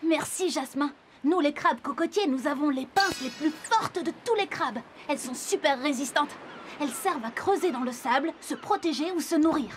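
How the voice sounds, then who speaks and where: High-voiced, eager little cartoon animal played by a woman. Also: moderate pace, background noise, close to an everyday voice. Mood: proud, explaining. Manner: eager, explains simply and proudly. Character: friendly, proud, helpful young cartoon animal friend. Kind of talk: children's educational cartoon dialogue.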